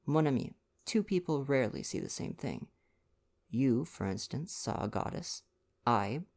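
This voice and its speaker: A man voicing a grave, measured little detective from a storybook, speaking slowly and deliberately. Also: medium pitch, lively intonation, darkish tone. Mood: grave, dry.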